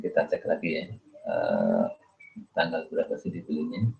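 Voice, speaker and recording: A man with a very deep voice gives an explanatory lecture. He works haltingly, posing questions and adding little check-in tags as he goes. The recording is muffled and lo-fi. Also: big pitch swings, creaky. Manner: repeatedly adds "ya" as a check-in tag; halting, thinking aloud with questions to the listener. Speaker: accounting lecturer. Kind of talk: explanatory screen lecture, working through a worksheet.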